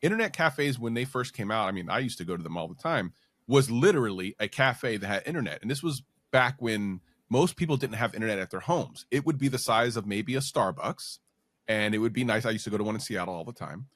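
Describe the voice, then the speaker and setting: A man hosting a podcast, chatting casually and talkatively as he interviews a guest, with compliments and gentle teasing. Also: on the low side, animated, brisk, no room sound. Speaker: podcast host.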